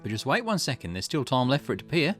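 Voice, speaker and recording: A man's voice: mid-range voice, very animated, racing, a bit bright, soft background music, pitched above the performer's own voice. A man voicing a cagey, theatrical stranger in a visual novel, with a teasing, mock-polite manner. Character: cagey, theatrical, shady male stranger loitering at night.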